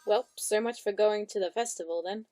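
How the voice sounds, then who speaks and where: A woman's voice: medium pitch, a little fast, mellow, soft background music. A woman giving casual reaction commentary as she watches along.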